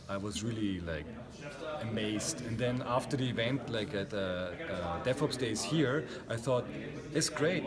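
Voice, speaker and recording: A man from Austria, a guest in a casual interview, talking earnestly and reflectively with many fillers. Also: mid-range voice. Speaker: interview guest, meetup founder. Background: crowd chatter.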